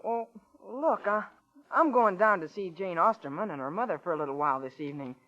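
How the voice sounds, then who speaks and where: Boyish, earnest young lad. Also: male voice, high-pitched, expressive, fairly quick, bright, forceful tone. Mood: hopeful, earnest. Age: young adult. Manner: hesitant, boyish, plain-spoken. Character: earnest, bashful young small-town lad. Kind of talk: old-time radio acting. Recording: muffled old radio recording.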